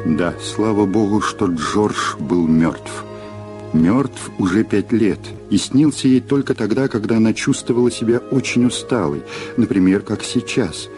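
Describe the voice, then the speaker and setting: A man with a fairly low voice narrates an audio drama, with music clearly playing behind him. His delivery is scripted and dramatic, telling the story in the third person. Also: measured pace.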